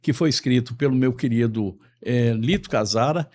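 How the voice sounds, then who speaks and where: A man's voice: medium pitch, moderate pace, darkish tone. A man from Bahia hosting a talk show, chatting in a jokey, rambling way. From Bahia, Brazil. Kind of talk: casual talk show chat.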